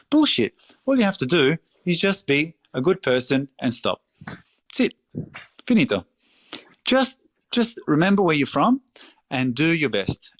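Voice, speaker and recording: A middle-aged Australian restaurateur talking in a casual interview, passionate and emphatic, swearing freely. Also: male voice, on the low side, dry recording. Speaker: restaurateur guest.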